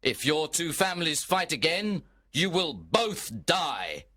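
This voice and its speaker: Man voicing a stern, high-pitched angry prince. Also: sing-song, bright, forceful tone, full character voice. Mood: stern anger. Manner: stern, slow, emphatic. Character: angry, commanding prince scolding feuding old lords. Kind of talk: storybook dramatization.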